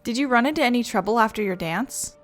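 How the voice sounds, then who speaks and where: A woman's voice: a little high, quick pace, faint music behind. A woman hosting a game playthrough, commenting casually and reading dialogue aloud. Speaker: gaming video host.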